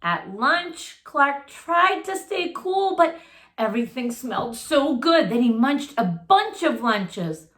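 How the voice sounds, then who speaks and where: Animated woman reading a storybook in a roomy space. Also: high-pitched, takes it slowly. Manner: animated, talks directly to child listeners. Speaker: teacher reading aloud to young pupils. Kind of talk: expressive children's storybook read-aloud.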